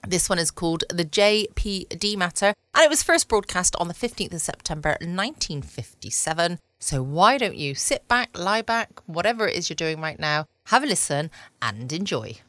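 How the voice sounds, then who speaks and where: Lower-voiced southern English woman, close and dry. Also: very animated, normal speed, fairly bright tone, no room sound. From United Kingdom. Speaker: podcast host. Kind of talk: casual bedtime chat.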